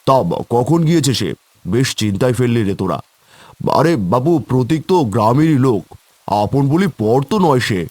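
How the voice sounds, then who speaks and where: A man's voice: mid-range voice, animated, normal speed, a little mellow, dry recording, natural voice. A man voicing an anxious, scolding villager in a cartoon, fretful, folksy and full of questions. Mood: worried, chiding. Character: anxious, scolding village man among tea-stall regulars. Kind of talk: cartoon character acting.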